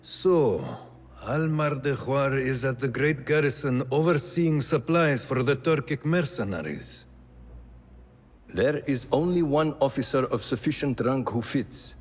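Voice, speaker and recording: An actor playing a grave secret-order leader in a video game, measured and authoritative, with a husky voice. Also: male voice, mid-range voice, natural voice. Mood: grave, commanding. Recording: long hall reverb.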